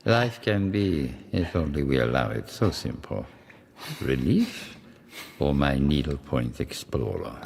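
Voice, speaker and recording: Male villain for radio drama: sadistic interrogator dentist, gravelly creak, hugely varied pitch, precise menacing politeness. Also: sing-song, a little mellow, full character voice. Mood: silky menace. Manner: slow, precise, menacingly courteous. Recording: faint noise on radio drama recording.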